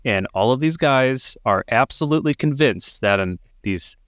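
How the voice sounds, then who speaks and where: A man talks as a podcast co-host in a casual, conversational storytelling style. His voice sits at a middling pitch for a man. The sound is dry, with very little room in it. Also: expressive, normal speed, a bit bright, no echo.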